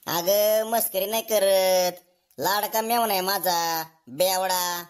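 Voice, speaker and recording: A woman's voice: medium pitch, fairly even intonation, slow pace, lightly acted. A woman voicing a sarcastic, complaining husband fed up with his brother-in-law in a comedy cartoon. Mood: mocking. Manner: mocking, complaining.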